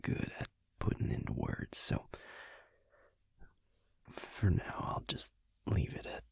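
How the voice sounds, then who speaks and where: A man playing a tender, hesitant vampire lover in an intimate audio roleplay, speaking in a halting, husky whisper. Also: no echo, natural voice. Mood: tender, grateful. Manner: halting, gentle, slow with long pauses.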